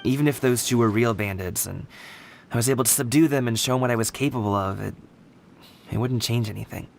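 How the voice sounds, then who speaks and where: A man's voice: medium pitch, a little fast, gravelly, slight background noise, natural voice. An actor playing an earnest young man at odds with his father, who sounds a little weary and sighs often. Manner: earnest, a bit weary, sighing. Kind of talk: game voice acting.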